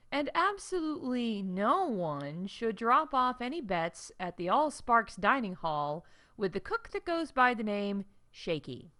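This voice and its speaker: A woman's voice: on the high side, sharp tone, exaggerated voice. A woman playing a chatty, wry theme park staff announcer in a scripted comedy podcast, emphatic and full of conversational asides. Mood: sly.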